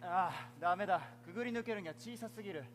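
Man playing a boyish, high-voiced daring lawyer. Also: fast talker, very noisy, close to an everyday voice. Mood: brisk, eager. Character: cocky, daring young male undercover lawyer. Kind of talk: theatrical stage acting. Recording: theatre stage recording with music.

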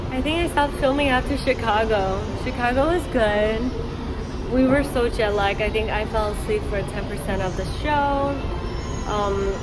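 A woman's voice: on the high side, heavy background noise. A young woman chatting casually into a phone camera, bubbly and laughing often. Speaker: vlogger. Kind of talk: casual travel vlog. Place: city street and indoors, handheld. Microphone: phone camera at arm's length.

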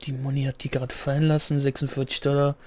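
A man with a slightly hoarse voice giving rambling, chatty commentary while playing a game, clearing his throat now and then. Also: medium pitch, little pitch movement, quick pace, darkish tone. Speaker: let's play gamer. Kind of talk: casual gameplay commentary.

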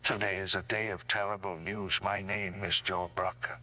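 A man's voice: low voice, flat delivery, normal speed, close to an everyday voice. A man playing a grave, formal newsreader delivering a scripted analog horror bulletin. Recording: humming, noisy faux old broadcast. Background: faint hum and noise.

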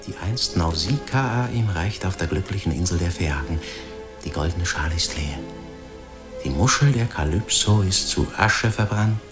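A man narrating a radio play from a script in a solemn, deliberate, suspenseful manner. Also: low-pitched.

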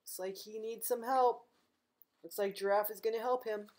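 A middle-aged woman reading a picture book aloud to young children, gentle and expressive, as a librarian at home. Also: on the high side, normal speed, slight background noise. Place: living room at home, indoors.

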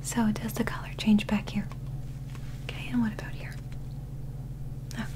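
A young woman doing a soft-spoken ASMR medical roleplay in a breathy whisper, with gentle, measured, clinical instructions. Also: medium pitch, little pitch movement. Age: young adult. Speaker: ASMR performer playing an alien medical examiner. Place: small indoor set.

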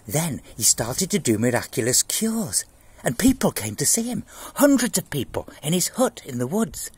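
Older man playing an earnest, husky-voiced pious schoolboy. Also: fairly high pitch, sing-song, moderate pace, darkish tone, voice pushed up, full character voice. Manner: earnest, solemnly informative.

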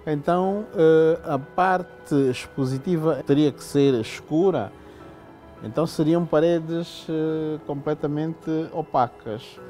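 A middle-aged man from Angola explains a building design in an interview, slowly and haltingly with many fillers, seated in an indoor hall on a lapel mic. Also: a little high, mellow, faint music behind.

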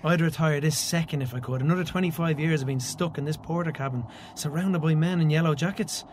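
An actor playing a blunt, teasing workmate keen to retire, dry and ribbing, with an Irish accent, in a radio play. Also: male voice, a little high, fast, a little hiss or hum, close to an everyday voice. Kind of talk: radio play acting, naturalistic dialogue.

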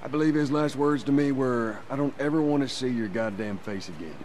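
A man playing a guarded, world-weary middle-aged survivor in a video game, gruff and terse, with a Southern American accent. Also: medium pitch, fairly quick, darkish tone, lightly acted. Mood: guarded, bitter. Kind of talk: naturalistic video game drama acting.

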